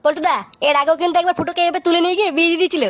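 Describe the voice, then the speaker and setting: An eager cartoon sidekick. The voice is extremely high and squeaky and races through every line. It sounds like a young follower deferring to the leader. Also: female voice, fairly even intonation, racing. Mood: eager, anxious. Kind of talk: comic cartoon dubbing.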